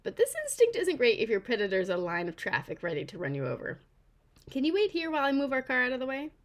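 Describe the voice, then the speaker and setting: Woman reading as calm, practical older neighbor lady. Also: high-pitched, fast talker. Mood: calm explaining. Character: calm, practical neighbor woman around seventy, animal rescuer.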